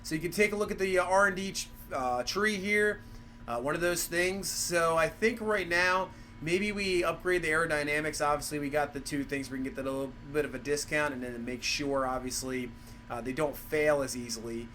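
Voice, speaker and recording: A man's voice: a little high, steady tone, a little fast, sharp tone, a little hiss or hum. A man with a cold, rambling through casual gameplay commentary and leaning on fillers like "obviously" and "for sure". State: has a cold. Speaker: gaming channel host.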